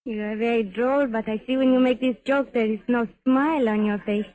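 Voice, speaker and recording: Woman playing sultry nightclub femme fatale, high pitched, careful stilted english like a foreigner, 50s radio drama. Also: steady tone, darkish tone, lightly acted, poor audio. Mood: cool, probing. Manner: measured, stilted foreign-sounding English without contractions. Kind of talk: 1950s radio drama acting.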